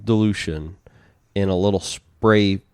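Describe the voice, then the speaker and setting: Low-voiced dude on a podcast, bickering and telling stories, dry sound. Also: male voice, a little mellow, smooth, dry recording. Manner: argumentative, drawn-out storytelling. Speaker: podcast co-host. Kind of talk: casual banter over drinks.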